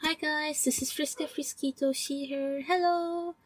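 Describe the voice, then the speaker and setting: A woman leaving a casual, expressive voice message as a listener sharing her reactions. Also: high voice, measured pace.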